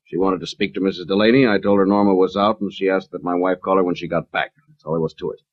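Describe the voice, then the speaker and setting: Grim husband, deep voice, blunt and urgent, old muffled radio play. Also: male voice, steady tone, fast talker. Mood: curt, guarded. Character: grim, brusque, troubled husband hiring a detective. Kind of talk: 1940s radio drama acting. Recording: dull vintage 1940s radio recording.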